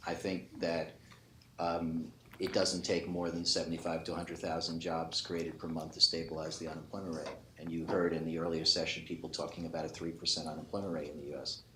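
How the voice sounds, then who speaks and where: A man's voice: very deep voice, level tone, a little fast, a bit bright. An older man, an economist giving emphatic, argumentative, unscripted remarks on a panel, often qualifying his own points. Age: 50s or 60s. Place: conference panel before an audience. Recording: echoey room with faint noise.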